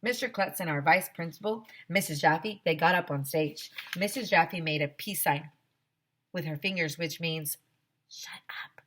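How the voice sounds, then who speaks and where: An American woman, a teacher, reading a children's story aloud in an expressive way. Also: deep voice, normal speed. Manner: expressive, acting out dialogue.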